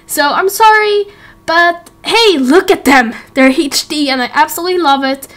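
Very high-voiced young woman, scattered and chatty. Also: normal speed, a bit bright. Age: late teens, young adult. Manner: scattered, giggly, self-interrupting. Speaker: YouTube roleplay series creator and host. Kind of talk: casual rambling update to viewers.

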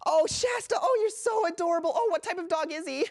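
A woman's voice: medium pitch, expressive, normal speed, no echo, clean studio sound, natural voice. A woman playing a sweet young girlfriend, gushing and cooing in a bubbly way in a stage comedy. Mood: cooing, delighted.

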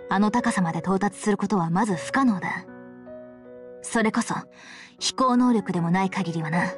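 A woman playing a composed, commanding young female leader in an anime visual novel game, with measured, formal, authoritative speech. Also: medium pitch, natural voice. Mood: calm, resolute. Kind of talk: Japanese visual novel acting and narration. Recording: background music under the voice.

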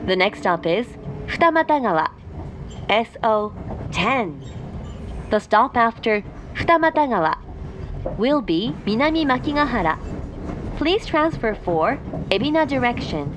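Slow, measured woman announcer over train rumble. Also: big pitch swings. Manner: formal, measured. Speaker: English-language train announcer. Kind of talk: scripted onboard train announcement. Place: inside a moving train carriage. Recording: train PA amid loud carriage noise.

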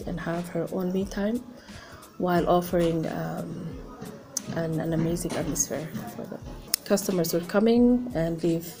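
A young woman who owns a spa, speaking to camera outdoors, relaxed and often pausing with fillers. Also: sits low. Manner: relaxed, hesitant with many fillers. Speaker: spa owner. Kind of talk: interview to camera. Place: outdoors in a garden.

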